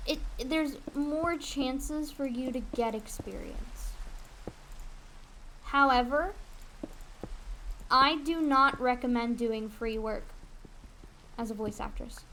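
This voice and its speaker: Young female game streamer, higher-pitched, candid rambling chat, some background noise. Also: steady tone, takes it slowly, pauses often, pushed and bright, poor audio. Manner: candid, rambling, swears casually. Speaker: streamer and aspiring voice actress. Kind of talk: casual livestream chat while gaming. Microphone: USB desk condenser mic.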